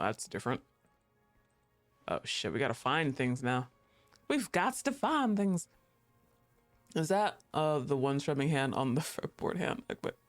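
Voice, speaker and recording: Playful game streamer with lively, swooping pitch. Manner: playful, reacts aloud, chatting with viewers. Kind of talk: casual livestream gameplay commentary.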